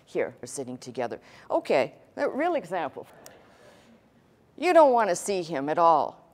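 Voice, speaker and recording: A woman's voice: mid-range voice, darkish tone. A middle-aged woman preaching to a congregation in a blunt, emphatic, conversational way, like an unapologetic teacher. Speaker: pastor. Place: church stage in a large indoor hall.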